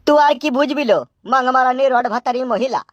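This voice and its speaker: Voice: high voice, a little fast, no echo, muffled recording, faint music behind, lightly acted. A performer voicing an anxious, nagging old father in a comic cartoon, grumbling and scolding. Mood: exasperated, scolding. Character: exasperated, foul-mouthed old village father, anxious and nagging.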